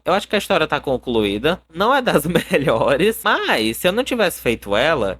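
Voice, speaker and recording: A man's voice: a little high, fairly bright tone. A young man with a Brazilian accent narrating his own old cartoons in an informal, humorous, self-mocking way. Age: young adult. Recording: voice-over with music underneath. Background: background music.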